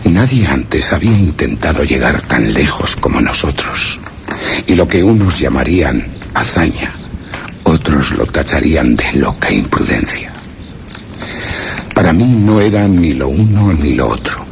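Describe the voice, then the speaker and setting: Very deep, gravelly, grave expedition survivor. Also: male voice, animated, heavily acted. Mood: grave, solemn. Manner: measured, formal, ominous. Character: grave male expedition survivor recounting a dreadful journey. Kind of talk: solemn literary horror radio drama narration. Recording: very noisy radio drama recording.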